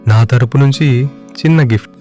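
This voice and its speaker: A man's voice: medium pitch, moderate pace, mellow, soft background music, natural voice. A man playing a jovial, food-loving young buddy in a cartoon story.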